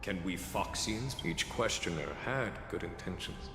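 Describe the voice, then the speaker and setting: A lowish-voiced male scholar-official in a video game. He is courteous, formal and unyielding. His measured words echo with long reverb, with music behind. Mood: calm, persuasive.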